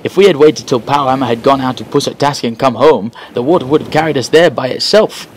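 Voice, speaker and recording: A man's voice: medium pitch, fast talker, slight background noise, close to an everyday voice. Man playing a tired grumbling fisherman.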